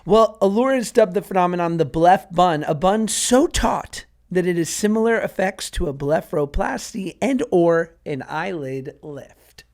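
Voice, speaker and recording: A man's voice: high-pitched, lively intonation, a little mellow. Joking man co-hosting a podcast. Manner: joking, halting with restarts in chat. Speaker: podcast co-host. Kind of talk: casual chat and reading out stories.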